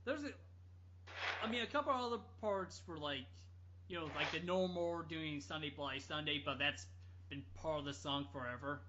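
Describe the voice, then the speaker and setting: A man telling a story casually on a podcast, halting and rambling as he goes. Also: a little high, noisy background. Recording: dull lo-fi audio.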